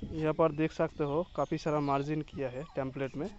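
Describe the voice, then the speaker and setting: A man's voice: on the high side, level tone, a little fast, darkish tone, lo-fi sound. A young man giving a plain, explanatory walking tour of a construction site outdoors, talking straight to his viewers. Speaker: construction vlogger. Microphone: phone held at arm's length.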